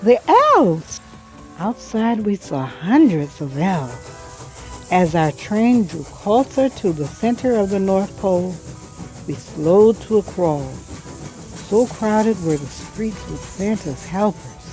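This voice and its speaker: A woman reading a children's story aloud expressively, with measured, dramatic phrasing and pauses. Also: mid-range voice, expressive, warm tone, soft background music, rough recording. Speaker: children's book reader.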